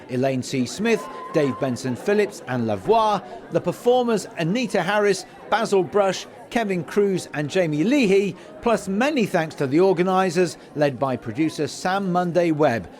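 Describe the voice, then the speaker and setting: A man's voice: sits high, darkish tone. Man presenting a podcast on location. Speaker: podcast host, interviewer. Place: inside a theatre at an awards event.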